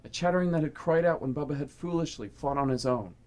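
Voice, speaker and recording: A man's voice: fairly high pitch, fast, darkish tone. A man reading scripted, dramatic story narration for a radio play.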